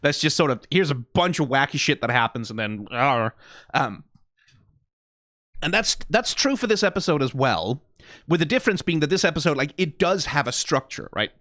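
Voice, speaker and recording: Man with a lively voice musing critically. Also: fairly high pitch, normal speed, dry recording, studio quality. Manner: rambling, analytical, peppered with "like" and "right?"; profane and wry. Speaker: reaction video host. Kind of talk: casual critical commentary.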